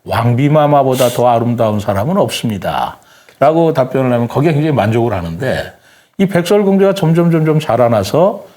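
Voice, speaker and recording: A man's voice: mid-range voice, lively intonation, a little fast, dark tone. A man speaking as a guest writer in a reflective talk, explaining things earnestly in polite, formal speech and telling stories. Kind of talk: reflective talk-show conversation with storytelling.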